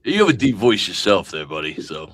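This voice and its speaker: A man's voice: sits low, very animated, fairly quick, fairly bright tone, slight background noise. A man on a livestream in casual chat, with sardonic, crude and profane remarks. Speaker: stream guest.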